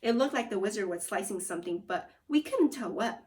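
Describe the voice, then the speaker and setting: A woman teacher reading a children's story aloud and guiding pupils, expressive and instructive with deliberate phrasing. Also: medium pitch, far from the mic, slight background noise. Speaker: second grade teacher.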